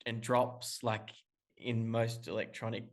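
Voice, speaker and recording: Male musician guest on a call, rambling and hesitant, mid-pitched everyday voice. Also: flat delivery, measured pace. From Australia. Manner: rambling, hesitant, many restarts and fillers. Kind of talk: casual interview talk. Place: calling in remotely.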